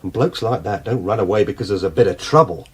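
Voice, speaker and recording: A man's voice: lowish voice, fast talker, slightly rough. An actor playing an anxious, distrustful British man in a radio drama, agitated and hesitant in his delivery. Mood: anxious, gloomy. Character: anxious, distrustful man fretting over a missing woman friend.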